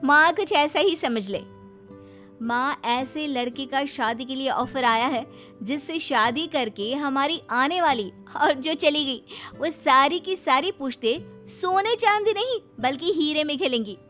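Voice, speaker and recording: A hopeful, excitable maid's daughter in a cartoon family tale, voiced by a woman. The young woman sounds very high-pitched, girlish and coy, eager in her delivery. Music runs behind her. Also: measured pace. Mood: elated. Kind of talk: Hindi moral-story cartoon dialogue.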